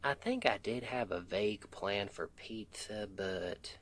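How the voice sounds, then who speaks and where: A man's voice: on the low side, measured pace, a bit bright. A man playing a sweet, devoted Southern husband in an intimate ASMR roleplay, tender and affectionate, with a Southern US accent. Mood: curious, affectionate.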